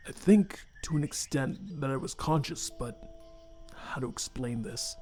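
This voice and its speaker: A man playing a remorseful, haunted skeleton scientist and father, speaking formally and haltingly with earnest care in a fan comic dub. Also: mid-range voice, mellow, husky, faint music behind. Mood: haunted, reflective.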